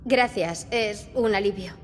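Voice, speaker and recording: A woman's voice: mid-range voice, measured pace, background noise, close to an everyday voice. An actress playing an earnest, determined teenage girl in a video game. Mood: pensive. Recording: music under the dialogue.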